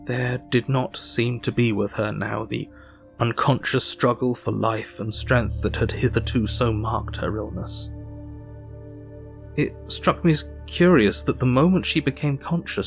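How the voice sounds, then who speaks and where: An actor playing a melancholy Victorian doctor who narrates his diary in an audio drama, sombre, measured and weary. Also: medium pitch, vocal fry, faint music behind. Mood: sombre, uneasy.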